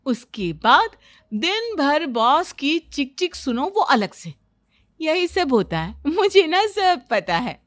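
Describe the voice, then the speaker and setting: A woman's voice: high-pitched, big pitch swings, takes it slowly, a bit bright, no room sound, clean studio sound, voice pushed up, heavily acted. A woman voicing an eager, boastful young daughter-in-law in a cartoon family story, insistent and keen to explain everything.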